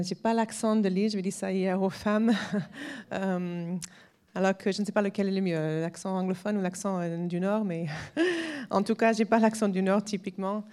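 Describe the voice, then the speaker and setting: A woman's voice: medium pitch, mellow. A woman in her forties from the United States with an American accent, giving a personal testimony at a church weekend in French with frequent hesitations. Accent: American English. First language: English. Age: 40s. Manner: halting, many hesitations, laughs lightly.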